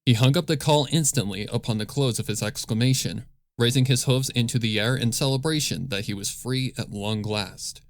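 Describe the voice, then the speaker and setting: A man's voice: mid-range voice, normal speed, no room sound. A man narrating scripted fan fiction as a storyteller, close on the microphone.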